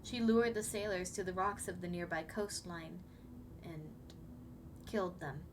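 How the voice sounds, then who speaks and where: Over clearly noisy ambience, a woman acts out a young siren queen in an ASMR roleplay. She is soft-spoken, with a mid-pitched feminine voice. Her speech is formal yet hesitant, with an anxious, apologetic gentleness. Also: natural voice. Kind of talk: ASMR roleplay addressed to the listener.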